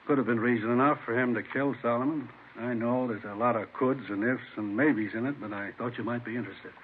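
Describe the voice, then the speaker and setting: An actor playing a cooperative, matter-of-fact police investigator in a radio crime drama. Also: male voice, medium pitch, brisk, natural voice. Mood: measured. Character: measured, cooperative male police investigator offering a theory. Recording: narrow-band old radio, faint noise.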